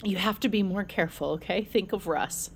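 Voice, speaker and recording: A woman acting an anxious, protective older sister in a fiction podcast, earnest and halting when emotional. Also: mid-range voice, natural voice. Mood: tearful, afraid.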